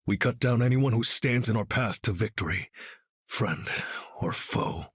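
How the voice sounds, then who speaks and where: A very husky, brooding dark vigilante. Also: male voice, medium pitch, unhurried, no room sound. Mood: grim. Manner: brooding, dry, deadpan. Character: grim, battle-hardened male vigilante leader with keen hearing. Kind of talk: video game character voice lines.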